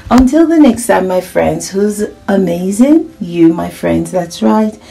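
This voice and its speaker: A woman hosting a children's read-aloud, animated and encouraging, speaking directly to her young listeners with little check-in questions. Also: medium pitch, takes it slowly, gravelly.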